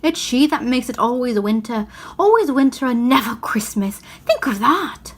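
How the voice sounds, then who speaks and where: A woman's voice: on the high side, lively intonation, moderate pace. A woman reading a storybook, voicing a sorrowful, guilt-ridden male faun with a lamenting, self-reproaching manner. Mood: guilty, confessing.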